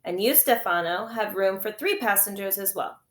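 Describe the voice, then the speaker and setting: Storybook gentleman, fussy and reasonable, politely firm a woman reading the part, echoey room. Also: mid-range voice, normal speed, natural voice. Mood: gently firm. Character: fussy, reasonable male family guardian, mildly stern.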